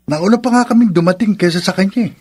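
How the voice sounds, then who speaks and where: A man's voice: high voice, fast talker, a little mellow, breathy edge. A man playing an earnest, supportive young friend in a Filipino radio drama. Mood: indignant.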